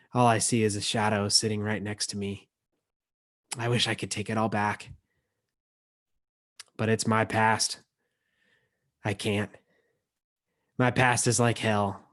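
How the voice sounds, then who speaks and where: A man's voice: lowish voice, flat delivery, slow, long pauses, fairly bright tone. Man hosting an online poetry reading. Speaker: emcee and book editor. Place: video call. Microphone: video call microphone.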